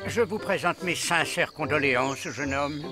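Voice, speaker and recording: A man's voice: on the high side, moderate pace, music in the background, close to an everyday voice. A man voicing a polite, pedantic scholarly cartoon creature with a formal, pompous manner.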